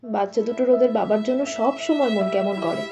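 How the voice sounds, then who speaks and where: A woman's voice: a little high, quick pace, close to an everyday voice. A woman playing a worried, loving village mother of young children in a dramatized doll story. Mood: wistful. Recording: muffled audio with faint music.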